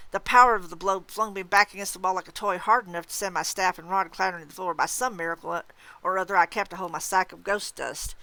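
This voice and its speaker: Female story reader, slightly low voice, expressive suspenseful narration, dry intimate sound. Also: no echo, poor audio. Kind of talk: dramatic audiobook-style narration.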